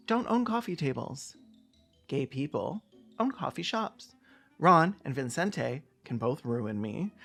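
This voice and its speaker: A man's voice: on the high side, creaky, a bit bright. A man giving comedic film commentary in a sarcastic, campy, theatrical manner. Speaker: video commentator.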